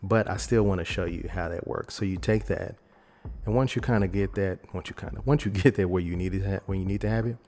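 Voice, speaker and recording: A man presenting a software tutorial in a casual, rambling, conversational way, talking informally through each step. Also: low voice, brisk. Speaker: video editing tutorial host.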